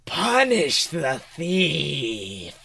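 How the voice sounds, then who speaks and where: A furious storybook monster, acted out by a man, hissing and screeching its accusations. The voice is forceful and sharp, full of menace from a huge winged beast. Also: fairly high pitch, wildly swooping pitch, drawn-out words, vocal fry, slightly rough, heavily acted. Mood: menacing hiss. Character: furious monstrous butter wyvern, shrieking and hissing.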